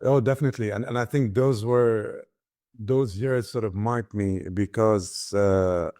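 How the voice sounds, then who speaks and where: A middle-aged man from Ghana speaks in a somewhat low voice, reflecting on his own story. He often pauses, restarts and repeats words as he works out his thoughts. The sound is dry and close. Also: fairly even intonation, mellow, dry recording. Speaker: guest, business founder and author.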